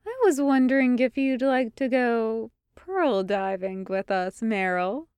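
A woman narrator puts on a sultry, wicked woman for a story. She drawls slowly in a smooth voice, sly and bluntly suggestive. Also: on the high side, darkish tone, no room sound, lightly acted.

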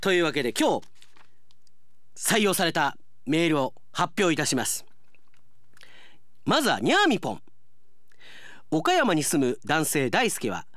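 Animated male radio host with lively pitch. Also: no echo, clean studio sound. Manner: animated, conversational. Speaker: radio announcer and show host. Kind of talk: radio talk, reading listener mail.

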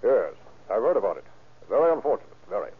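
An actor playing a proud, indignant middle-aged major who speaks in a clipped, formal way in an old-time radio drama. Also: male voice, fairly low pitch, very animated, quick pace, breathy edge. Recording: tinny old radio recording.

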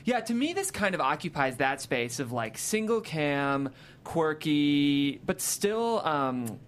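A man's voice: fairly high pitch, very animated, takes it slowly, fairly bright tone. A man hosting a casual panel discussion, talking in a hedging, conversational way. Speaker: panel show host. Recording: clear background room noise.

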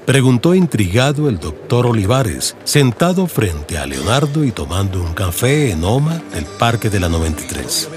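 A man's voice: lowish voice, big pitch swings, normal speed, hardly any pauses, over music. A man with a Colombian accent narrating a scripted audiobook in radio-drama style. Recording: faint background noise and ambience.

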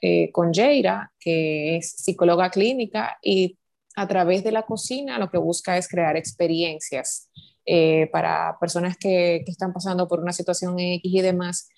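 A woman from the Dominican Republic with a Dominican accent, co-hosting a casual chat over a video call and filling pauses with "eh". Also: fairly low pitch, gravelly, a little mellow. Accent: Dominican Spanish. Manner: chatty, with many "eh" fillers. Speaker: co-host.

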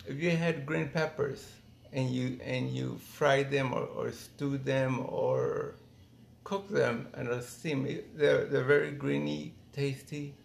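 A middle-aged man from Mexico reminiscing casually in an interview, thinking aloud in halting phrases with false starts. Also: mid-range voice, steady tone, gravelly. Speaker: interviewee, former migrant worker.

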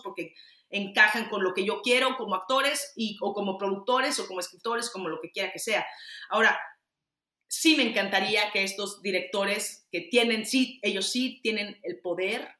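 A woman's voice: mid-range voice, sharp tone, echoey room. A middle-aged Mexican woman, an actress and producer, answering interview questions candidly and emphatically, with frequent restarts.

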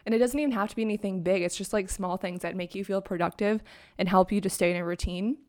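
A young woman chatting into her own microphone for her podcast, upbeat and rambling, with lots of "like" along the way. Her voice still has a sleepy, just-woken edge. She sits at a middle pitch for a woman. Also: fairly even intonation, quick pace, darkish tone. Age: young adult. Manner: chatty, upbeat, heavy use of "like". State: sleepy morning voice. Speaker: podcast host. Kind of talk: casual solo podcast talk. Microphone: own microphone and laptop.

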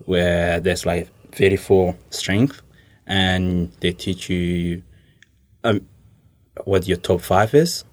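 A man's voice: low voice, flat delivery, takes it slowly. A man, answering interview questions informally and hesitantly, often rephrasing as he goes. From Somalia. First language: Somali. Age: 20s. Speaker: interview guest.

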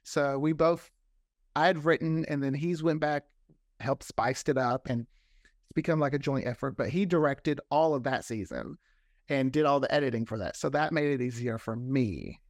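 A man's voice: medium pitch, normal speed, no room sound, studio quality. An American man talking casually as an interview guest, chatty and anecdotal, often quoting conversations. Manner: chatty, anecdotal, acts out quoted dialogue. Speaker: interview guest, fiction podcast creator.

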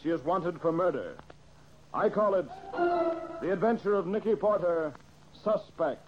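An American man playing an earnest, brisk young amateur detective in an old-time radio drama. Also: sits high. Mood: suave, dramatic. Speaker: detective hero and show host. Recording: muffled, hissy old radio recording.

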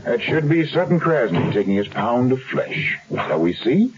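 An actor playing a cool, wry, laconic insurance investigator in a 1970s radio drama. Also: male voice, mid-range voice, very animated, moderate pace, natural voice. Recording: dull 1970s radio recording.

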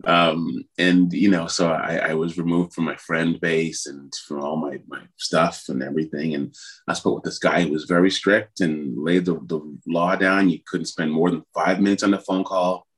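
Low-voiced guy from trinidad, middle-aged, rambles with lots of ums. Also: steady tone, normal speed. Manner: rambling, hesitant, lots of fillers. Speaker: musician guest. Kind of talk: conversational interview.

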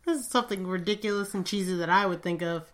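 A woman's voice: mid-range voice, fast. A woman co-hosting a casual podcast in a chatty, teasing way, often laughing along with her partner. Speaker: podcast co-host. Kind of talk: casual chat about songs.

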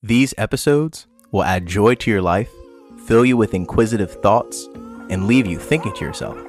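A man's voice: lowish voice, normal speed, music in the background. A man with an American accent answering questions as a podcast co-host, chatting casually with playful, dry replies. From eastern United States. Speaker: podcast co-host being interviewed. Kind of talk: casual chat.

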